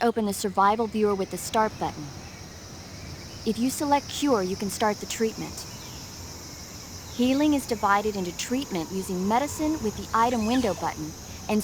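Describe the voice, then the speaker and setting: An actress playing a calm female military support medic, giving clear step-by-step instructions in a scripted game tutorial. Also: medium pitch, lightly acted. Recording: insect noise behind voice.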